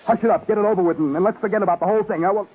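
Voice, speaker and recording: An actor playing an intense, anguished police detective with an urgent, clipped manner in an old radio crime drama. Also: male voice, sits high, rapid-fire, natural voice. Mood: angry, defiant. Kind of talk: mid-century radio crime drama acting. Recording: muffled, hissy old radio recording.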